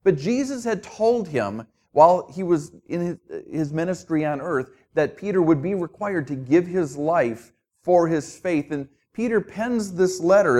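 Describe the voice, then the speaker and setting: A man's voice: on the high side, a little mellow. A middle-aged man from Minnesota with a New Jersey accent, preaching a sermon as a guest pastor in an easygoing, conversational way with self-deprecating humor.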